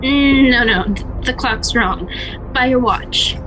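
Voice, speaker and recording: A woman as an anxious, high-voiced suburban housewife. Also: takes it slowly, over music, low-quality recording. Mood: nervous, evasive. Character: anxious suburban housewife and mother hiding her unease. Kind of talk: amateur radio drama acting.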